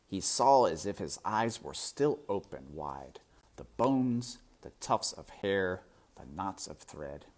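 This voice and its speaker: Very low-voiced, theatrical woman storyteller. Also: breathy edge. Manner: suspenseful, hushed at times, theatrical. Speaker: storybook reader. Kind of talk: dramatic read-aloud narration.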